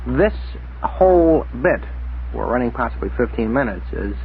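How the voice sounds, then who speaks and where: A man's voice: medium pitch, sing-song, moderate pace, creaky, phone-quality sound, a little hiss or hum. A man hosting a conversational radio interview, recorded on an old 1960s radio tape. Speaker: radio talk show host. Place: radio studio.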